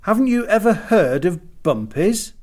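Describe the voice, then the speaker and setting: Storybook little man, done by a reader: courteous, excitable miniature king, quaint and very animated in pitch. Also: measured pace, exaggerated voice. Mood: astonished, explaining. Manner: polite, quaint, exclamatory. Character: tiny, courteous, excitable ancient king of baby angels. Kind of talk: children's storybook character voice.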